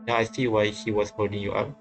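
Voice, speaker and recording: A man with a fairly low, very monotone voice reads a visual novel aloud as a streamer. He is halting, repeats phrases and comments casually, with faint game music behind him. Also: low-quality recording.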